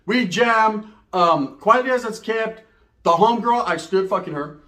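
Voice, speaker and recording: High-pitched man telling stories. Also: measured pace, echoey room. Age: adult, parent. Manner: animated, slangy, swearing, acts out dialogue. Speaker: comedic storyteller. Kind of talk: raunchy casual comic storytelling.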